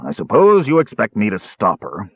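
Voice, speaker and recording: A man playing a big, gruff, drunken warrior, gloomy and self-pitying, in a fantasy audiobook. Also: sits low, very animated, unhurried, dry recording, narrow, tinny audio, heavily acted. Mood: sullen.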